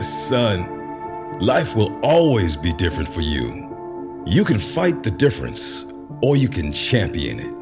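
A man playing a solemn middle-aged father in an audio drama, giving deliberate counsel to his grown son. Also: fairly low pitch, wildly swooping pitch, music in the background, exaggerated voice.

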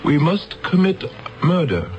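Radio drama role: stern ruling elder, highish voice, very slow, implacable. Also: male voice, big pitch swings. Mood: cold, solemn. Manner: solemn, measured, implacable. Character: cold, authoritative male elder of a futuristic council. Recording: dull vintage radio with music.

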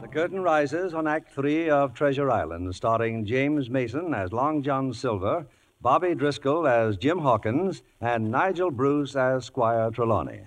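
A man's voice: mid-range voice, lively intonation, a little slow, loud music behind the voice. A man hosting a 1950s radio show in a formal, courtly, scripted style.

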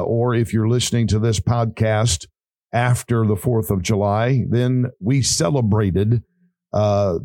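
A man who pastors and hosts a podcast, talking earnestly in a folksy preaching style, his voice raspy and hoarse. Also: mid-range voice, dark tone, no echo. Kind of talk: casual preaching podcast talk.

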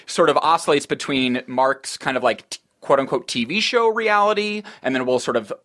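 A man's voice: medium pitch, moderate pace, a bit bright. A man chatting casually as co-host and writer, introducing a script he will read.

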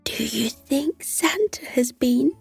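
A woman voicing an excited little sister in a bedtime story, speaking in an eager hush. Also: high voice, slow, vocal fry, slightly rough, no room sound, faint music behind, exaggerated voice. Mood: hushed excitement. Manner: hushed, eager. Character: excited little girl, whispering younger sister.

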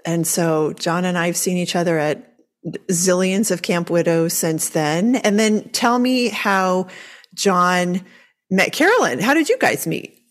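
A woman's voice: on the low side, animated, unhurried. Enthusiastic woman hosting a podcast. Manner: enthusiastic, gushing. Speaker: podcast host. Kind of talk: casual podcast interview.

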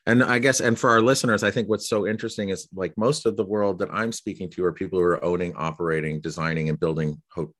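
A man hosting a podcast, asking questions with curious enthusiasm and a halting, filler-heavy way of talking. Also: low voice, fairly even intonation, a bit bright. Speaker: podcast host. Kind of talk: casual conversational interview.

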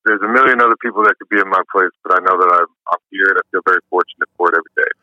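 Low-voiced man, easygoing and rambling. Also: very fast, no echo. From New York. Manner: easygoing, rambling, lots of fillers. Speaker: musician guest. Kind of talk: casual interview answers. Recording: dull lo-fi dry sound.

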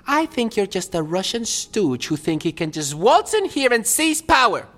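A man's voice: high voice, very animated, moderate pace, a bit bright. A man playing a haughty, mocking self-proclaimed president in an audio drama, with a theatrical, imperious manner. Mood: imperious anger.

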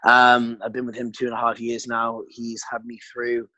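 A man's voice: mid-range voice, monotone, moderate pace, bright, forceful tone, dry recording, low-quality recording. A British man in his twenties, a podcast guest chatting casually, laughing often and swearing freely. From Britain. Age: 20s. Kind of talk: casual interview chat.

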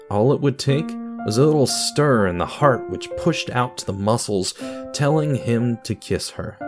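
A man reading scripted narration for an audio drama, telling a story in a dramatic style. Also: on the low side.

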